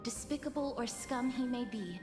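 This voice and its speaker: A woman voicing an earnest, compassionate young warrior in a video game, speaking in a measured, formal, solemn way. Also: fairly high pitch, close to an everyday voice. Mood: pleading, firm. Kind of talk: dramatic video game voice acting. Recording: echoey reverb, game music behind.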